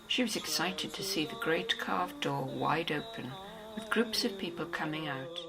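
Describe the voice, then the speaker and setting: A woman's voice: low-pitched, expressive, normal speed, vocal fry, over music. A woman narrator reading a novel in a scripted, storytelling style. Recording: faint background noise and ambience.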